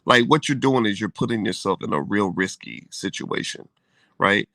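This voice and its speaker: A man's voice: fairly low pitch, lively intonation, moderate pace, pushed and bright, no room sound. A man co-hosting a casual chat show, laid-back and joking, with slangy turns of phrase. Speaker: co-host.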